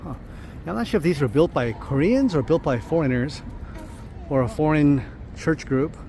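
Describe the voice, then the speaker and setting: A man's voice: a little high, animated, normal speed, darkish tone. A man giving a casual travel vlog commentary as he walks through a city, musing aloud with lots of fillers. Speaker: travel vlogger. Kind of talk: casual walking-tour commentary. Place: outdoors on busy city streets. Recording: outdoor street noise. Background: passing traffic.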